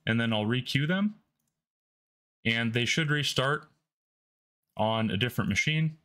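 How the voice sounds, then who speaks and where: A man talks through a software demo at his home workstation. His voice sits at a middle pitch, and he speaks in a relaxed way, thinking aloud with frequent ums. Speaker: tech channel host. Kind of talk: screen-recorded software demo.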